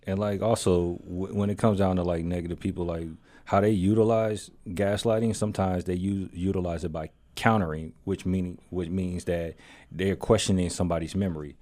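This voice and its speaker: A man's voice: sits low, fairly even intonation, a little fast, warm tone. A man co-hosting a casual talk show, explaining his points step by step and acting out everyday examples. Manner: explains with acted-out examples, builds up energy. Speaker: co-host. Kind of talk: casual podcast chat.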